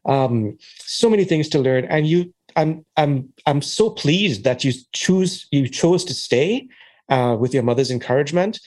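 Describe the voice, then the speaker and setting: A man's voice: a little high, lively intonation, unhurried, a little mellow. A man hosting an interview podcast, enthusiastic and affirming, pushing up on key words. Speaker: podcast host. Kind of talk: conversational interview.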